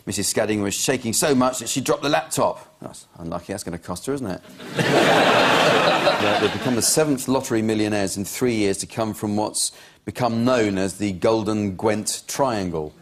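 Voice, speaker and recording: A man hosting a comedy news quiz, reading out gags with a dry, deadpan delivery in a TV studio. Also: on the low side, normal speed. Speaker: panel show host. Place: TV studio with audience.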